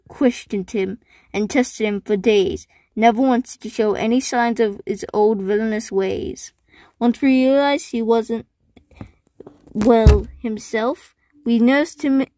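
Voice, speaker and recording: A woman's voice: a little high, warm tone, slight background noise, rough recording. A woman voicing a polite, fair-minded village leader in an amateur cartoon comic dub, formal and earnest.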